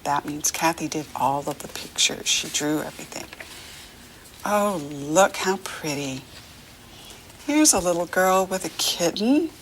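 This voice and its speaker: An American woman reading a picture book to young children as a lesson, encouraging and animated, asking questions as she goes. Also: lowish voice, a little hiss or hum. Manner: encouraging, asks questions and leaves room to answer; animated, sing-song child-directed talk.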